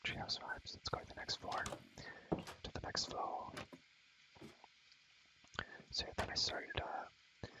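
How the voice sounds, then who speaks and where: A young man whispering in a casual ASMR game tour, breathy and slangy, softly repeating his phrases. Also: poor audio. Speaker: video creator showing a game world.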